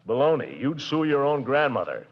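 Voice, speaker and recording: An actor playing a blustering, pompous middle-aged bachelor uncle in a 1940s radio comedy, with a booming laugh. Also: male voice, very animated, normal speed. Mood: indignant. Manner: blustering, booming laugh, swings from gloomy to jubilant. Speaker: lead actor in a radio sitcom. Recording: dull old radio broadcast.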